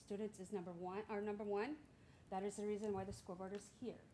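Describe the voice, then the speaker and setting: A woman's voice: medium pitch, flat delivery, quick pace, warm tone, a little hiss or hum. A middle-aged woman interviewing as a school board candidate, speaking in a casual, chatty way with hesitant fillers. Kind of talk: public interview. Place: school board meeting room.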